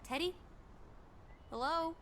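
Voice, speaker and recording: A woman's voice: very high-pitched, takes it slowly, slight background noise. An actress playing a playful, teasing young American woman from the 1920s in a video game, full of jaunty old-fashioned slang.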